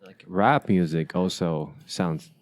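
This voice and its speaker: Low-voiced halting man. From Asia. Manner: halting, many restarts and fillers. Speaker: podcast host. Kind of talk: casual conversation.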